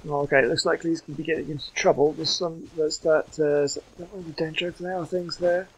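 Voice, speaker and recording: Husky-voiced man casually commenting on gameplay. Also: a little high, flat delivery, brisk, rough recording. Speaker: gaming YouTuber.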